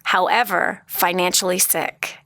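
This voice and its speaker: A woman's voice: on the low side, fairly even intonation, unhurried, sharp tone, no echo. A woman hosting a radio talk show, speaking earnestly to listeners with rhetorical questions and frequent restarts. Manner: frequent restarts and repeated words. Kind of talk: motivational talk show monologue.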